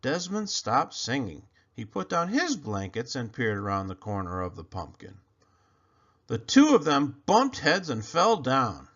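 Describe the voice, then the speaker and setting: A man reading a children's storybook aloud in an expressive, emphatic storytelling manner. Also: medium pitch, measured pace, highs cut off. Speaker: storybook reader.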